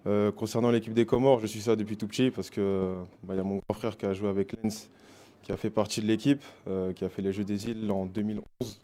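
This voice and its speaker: A man's voice: fairly low pitch, little pitch movement, brisk, warm tone. A man in his twenties from the Paris region introducing himself as a basketball player, with many fillers. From Paris region, France. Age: 20s. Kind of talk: self-introduction.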